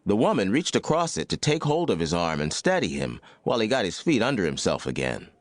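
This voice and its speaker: Low, expressive American male narrator. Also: a little fast, no echo. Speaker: audiobook narrator. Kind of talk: scripted Western story narration.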